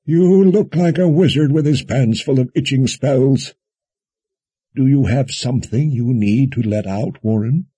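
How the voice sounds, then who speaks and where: A shrewd, kindly old wizard from a fantasy audiobook. He talks slowly and folksily in a husky, raised voice, musing and gently teasing. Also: medium pitch, no echo, pitched above the performer's own voice. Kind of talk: audiobook character dialogue.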